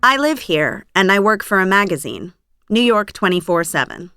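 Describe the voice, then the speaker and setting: A woman, playing a young magazine employee in a scripted language-course dialogue, chatty and lively. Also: mid-range voice, moderate pace, clean tone, no echo, studio quality, soft background music. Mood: cheerful.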